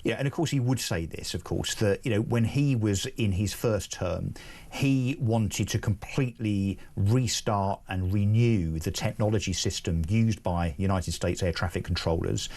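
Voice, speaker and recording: A man with a somewhat low voice presents a live news interview. He talks as a probing host, interjecting and pressing with questions in a conversational broadcast style. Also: normal speed, darkish tone.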